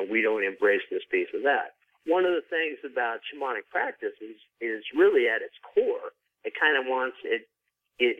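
A man with a low voice talks in a casual, explanatory way as an author being interviewed. His speech is informal and full of conversational asides. The sound is narrow and phone-like, dry and without room echo. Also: husky. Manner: informal, frequent "you know" asides.

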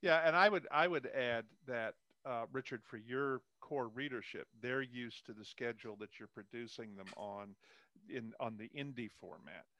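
A man's voice: mid-range voice, normal speed, sharp tone, no echo. An older man, a guest author chatting casually about writing in a rambling way, with self-deprecating humour.

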